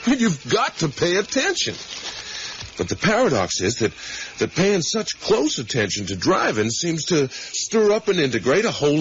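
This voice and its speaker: A man acting an eager, intellectual middle-aged father in a 1980s radio play. He muses at length and stresses his points, with a widely swinging pitch. The recording is dull, with faint noise. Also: lightly acted. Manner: rambling, ruminative, emphatic. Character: musing, eager academic father on a motorcycle trip with his son.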